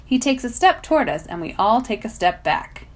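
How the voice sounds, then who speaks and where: A woman reading a children's novel aloud as a volunteer, in expressive narration. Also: a little high, normal speed. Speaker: volunteer story reader.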